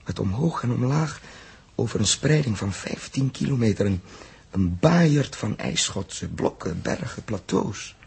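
An actor playing an earnest, resolute spaceship captain with a husky voice and weighty, deliberate delivery in a 1960s radio drama. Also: male voice, medium pitch, expressive, darkish tone, exaggerated voice. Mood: grave wonder. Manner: weighty, deliberate, halting over phrases. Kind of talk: 1960s Dutch science fiction radio drama acting.

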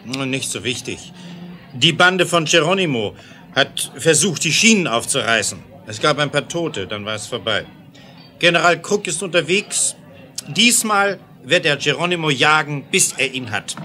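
An actor playing a sober, unflappable railroad aide in a 1970s German radio play, speaking in a precise, factual way. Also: male voice, on the high side, expressive, normal speed, sharp tone. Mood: matter-of-fact.

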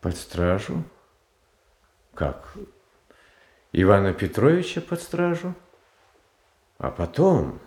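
A gentle, naive Tsar in a classical radio tragedy. His voice sits fairly low and moves very slowly, with plaintive hesitations. He sounds frail and soft-hearted, in a roomy, echoing acoustic. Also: sing-song, full character voice. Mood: shocked disbelief. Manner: halting, plaintive, hesitant phrasing. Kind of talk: classical Russian stage tragedy in radio adaptation.

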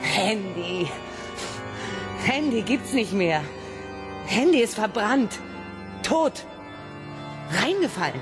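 Eine Schauspielerin spielt in einem Hörspiel eine rätselhafte junge Frau mit verträumter, ironischer Art. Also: female voice, mid-range voice, sing-song, slow pace, pauses often, music in the background. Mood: wistful. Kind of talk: radio play acting.

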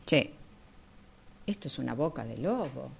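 Anxious, bossy Argentine woman behind the wheel female actor, deep register, lively swings, argumentative, radio drama. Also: a little slow, creaky, slightly rough, heavily acted. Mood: scared. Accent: Argentine, Rioplatense. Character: nervous, bossy woman driver. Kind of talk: radio drama workshop acting.

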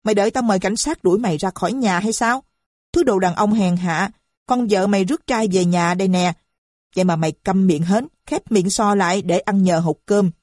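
A woman voicing a shrill, boastful, foul-mouthed middle-aged mother who screeches her abuse. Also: a little fast, a little mellow, no room sound, clean studio sound, natural voice. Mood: screaming.

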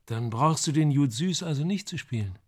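The eager friend in a radio drama, practical but anxious to keep the peace. His voice sits a little high and moves up and down with real animation. He talks naturally, coaxing and placating. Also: animated, moderate pace, darkish tone. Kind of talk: naturalistic German radio play dialogue.

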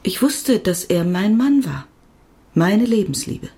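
A woman's voice: mid-range voice, animated, slow pace, warm tone. An actress playing a devoted woman doctor who tells of her love in a reflective, intimate radio drama monologue. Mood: reflective, tender.

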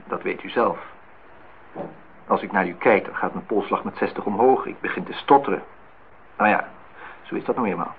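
Acteur als hoffelijke dertiger, blikkerige oude opname. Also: male voice, sits low, normal speed, pauses often, natural voice. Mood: shy, flirtatious. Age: 30s. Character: courting bachelor department head of 32, awkwardly gallant. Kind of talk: radio play acting, intimate dialogue. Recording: tinny, noisy old radio play recording.

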